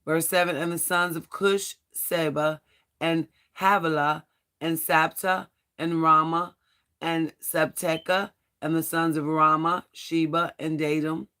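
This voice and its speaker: A Bible study host with a hoarse, strained voice, reading scripture aloud and adding earnest spiritual commentary, stumbling now and then over hard names. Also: mid-range voice, sharp tone. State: hoarse, losing voice.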